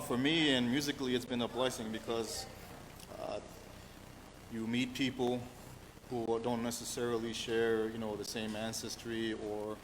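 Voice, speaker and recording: A middle-aged man from New York City answering interview questions in an earnest, halting way, full of restarts and "you know"s. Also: mid-range voice. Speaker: musician interviewed as guest. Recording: long reverb, faint background hiss.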